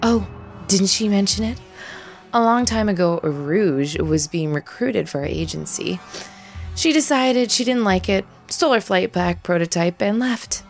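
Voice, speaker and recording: A woman's voice: mid-range voice, expressive, measured pace. A woman playing a ruthless middle-aged female general who gives orders in a commanding, cruel way. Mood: cold. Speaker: military general. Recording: music bed underneath.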